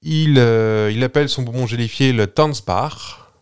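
A man's voice: mid-range voice, measured pace, clear, resonant tone. A man hosting a podcast, telling a story in a playful, rambling way with many hesitations. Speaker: podcast host. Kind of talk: casual chat, telling a story.

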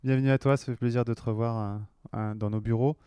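A man's voice: mid-range voice, fairly even intonation, fast, warm tone, clear, resonant tone, dry recording, clean studio sound. A man in his forties, a marketing director talking through his career in an interview, hesitant with many fillers and restarts. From western France. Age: 40s. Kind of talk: conversational interview.

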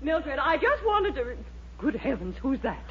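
A woman's voice: high-pitched, sing-song, normal speed. An actress playing a formidable, suspicious middle-aged mother in a 1940s radio comedy, theatrical and dry, with an American accent. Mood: shocked concern. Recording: muffled old radio recording, faint hiss.

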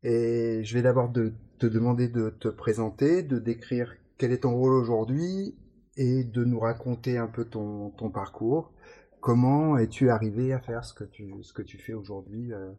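A podcast host asking a guest to introduce herself, with gentle, halting questions. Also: mid-range voice, steady tone. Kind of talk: interview questions.

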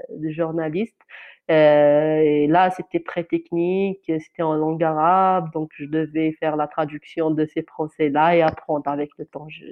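A woman journalist from Algeria whose first language is Kabyle, speaking in an interview in an earnest, halting way with many restarts and fillers. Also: sits low, muffled recording. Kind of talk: interview answer, personal account.

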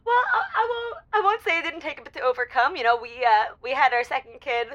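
A woman playing a cheeky, sheepish married mother calling a radio show, speaking haltingly and coyly in an improvised comedy. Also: high voice, big pitch swings, a bit bright, lo-fi sound. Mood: amused. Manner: halting, giggly, coy. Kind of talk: improvised audio comedy dialogue.

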